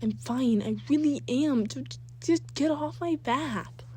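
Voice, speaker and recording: Woman as a terse, irritated teenage boy, mellow tone. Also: sits high, takes it slowly, pitched above the performer's own voice. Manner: terse, dismissive. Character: teenage boy, defensive and irritated with his parents.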